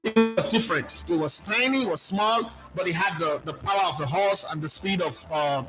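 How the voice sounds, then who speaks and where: Nigerian football guy on his channel, high voice, lively and preachy, music going behind him. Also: moderate pace, rough recording. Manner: animated, preachy, lists players, mixes in pidgin. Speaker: football pundit. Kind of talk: casual commentary answering viewer questions.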